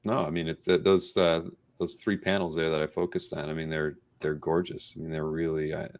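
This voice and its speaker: A man's voice: very deep voice, flat delivery, fairly quick. A man hosting a casual live-stream interview in an agreeable, affirming conversational way. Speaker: host.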